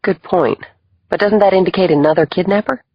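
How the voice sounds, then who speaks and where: Audiobook role: blunt, fiery woman investigator, husky and somewhat low, recorded very dry. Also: fairly quick, no room sound, muffled recording. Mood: urgent, reasoning. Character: fiery, angry female federal agent, blunt and sharp. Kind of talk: audiobook drama acting.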